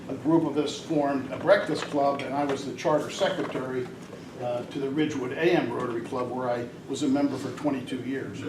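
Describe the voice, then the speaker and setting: An older man giving a rambling, hesitant talk at a club meeting, with many fillers. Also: mid-range voice. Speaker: guest speaker at a Rotary club. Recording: distant mic in an echoey room, noisy.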